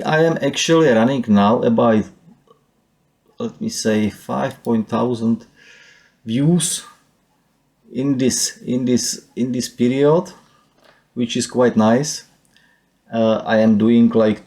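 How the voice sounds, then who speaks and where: Czech guy talking slow and halting in english, lots of uh and let me say, kinda dark mellow voice. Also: medium pitch. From Czech Republic. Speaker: YouTuber. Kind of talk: screen-share channel walkthrough.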